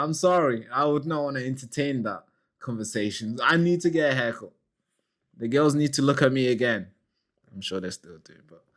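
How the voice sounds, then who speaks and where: A man's voice: mid-range voice, fairly bright tone. A man hosting his own channel, giving loose, chatty news commentary straight to camera, with asides to his viewers. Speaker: channel host.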